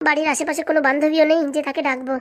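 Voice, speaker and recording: A woman's voice: high voice, fairly even intonation, very fast, a bit bright. A woman voicing a meek, plaintive young housewife in a melodramatic comedy cartoon. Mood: meek, unwell. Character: meek, dizzy pregnant young housewife, wailing when hurt.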